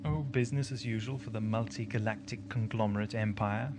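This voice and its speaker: Male actor for eccentric time-traveller, audio drama. Glib, theatrical, mid-pitched; noisy amateur tape sound. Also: fairly even intonation, brisk, lightly acted. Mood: wry, breezy.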